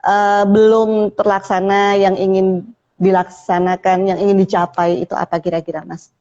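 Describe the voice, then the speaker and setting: A woman's voice: medium pitch, level tone, moderate pace, dark tone, highs cut off. A woman hosting a podcast, who asks questions in a friendly, teasing way and laughs often. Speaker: podcast host. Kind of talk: casual interview chat.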